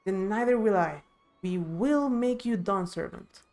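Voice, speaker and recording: Woman doing a loyal young villager from a video game, thoughtful, measured, explains stuff, mellow voice. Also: medium pitch, faint music behind, natural voice. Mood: earnest, steady. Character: thoughtful young villager, steadfast ally of the heir.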